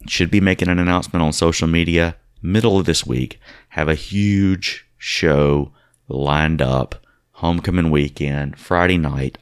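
Deep-voiced radio host man. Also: clear, resonant tone, dry recording, clean studio sound. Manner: upbeat promo patter, halting when asking questions. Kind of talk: casual radio talk show.

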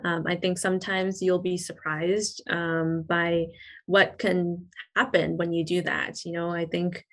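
A woman co-hosting a podcast, talking in a relaxed, thoughtful way with frequent ums and you-knows. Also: fairly low pitch, a little slow. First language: English. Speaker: podcast co-host. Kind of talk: casual interview chat.

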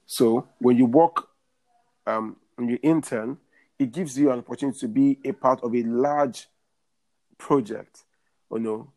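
Thirties guy giving career advice, earnest, says things twice, average pitch. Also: a little mellow, low-quality recording. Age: 30s. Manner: earnest, repeats phrases while building a point. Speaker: guest.

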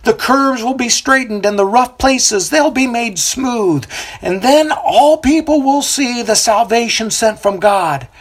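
A storyteller voicing a fiery male desert prophet with a booming, coarse voice who preaches loudly to a crowd. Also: animated, unhurried. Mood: proclaiming.

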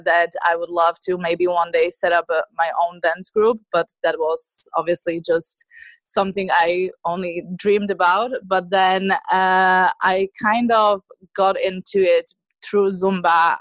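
Chatty woman from Slovakia, low voice. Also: no echo, poor audio. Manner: chatty, many fillers and restarts. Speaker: guest, dance teacher. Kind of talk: casual interview answers.

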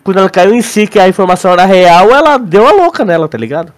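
A Brazilian man with a fairly high voice talks like a podcast co-host among friends. His speech is slangy and informal, and he circles back over words while working out his point. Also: expressive, quick pace. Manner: slangy, repeats words while searching for phrasing. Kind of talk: casual chat, theorizing about a show.

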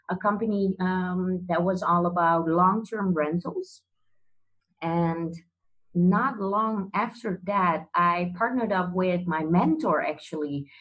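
A woman from the Netherlands with a Dutch accent, a guest in an interview over a video call, talking hesitantly with many pauses and restarts. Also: fairly low pitch, rough recording.

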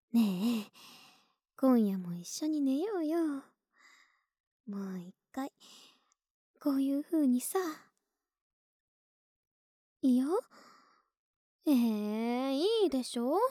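A woman playing a clingy, sweet young neighbor in an ASMR voice drama, soft and breathy, often whispering, intimate and coaxing, close to the mic. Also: high-pitched, animated, takes it slowly, pauses often, no room sound, exaggerated voice. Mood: coaxing, playful. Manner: intimate, affectionate, hesitant and coaxing. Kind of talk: Japanese ASMR situation voice drama. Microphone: close, dry ASMR microphone.

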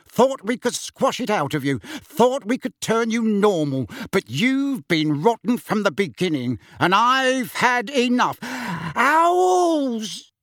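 A man's voice: on the high side, animated, normal speed, few pauses, slightly rough, no echo, studio quality, exaggerated voice. A man voicing a blustering middle-aged uncle in an audiobook, easily deflated and prone to sputtering. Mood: furious. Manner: roaring, sputtering, stammering when unsettled.

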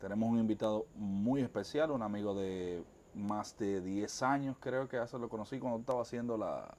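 A man's voice: fairly low pitch, moderate pace, a little mellow, a little hiss or hum. Dominican man hosting an interview. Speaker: interview show host. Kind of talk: conversational interview.

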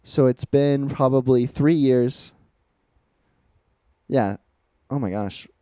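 A man's voice: mid-range voice, takes it slowly, mellow, smooth, no echo. A man in his twenties, co-hosting a casual podcast chat, telling stories in an earnest, reflective way. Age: 20s. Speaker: podcast co-host.

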